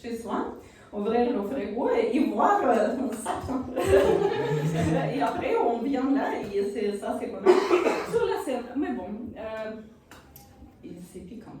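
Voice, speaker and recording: Slow, halting Ukrainian-accented woman. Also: a little high, sing-song, crowd noise. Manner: halting, many fillers, self-deprecating. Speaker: stand-up comedian. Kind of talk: stand-up set while eating hot sauce. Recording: echoey room, far from mic.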